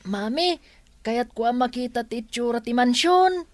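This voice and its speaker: A woman's voice: fairly high pitch, expressive, moderate pace, no room sound, lightly acted. A woman playing a wide-eyed, eager young boy with a childlike way of talking in an audio drama. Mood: eager, wondering.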